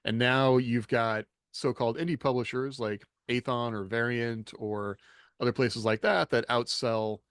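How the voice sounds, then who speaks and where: A man's voice: medium pitch, fairly even intonation, unhurried, fairly bright tone, clear, resonant tone, dry recording, studio quality. A man hosting a casual panel discussion on a podcast. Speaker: podcast host.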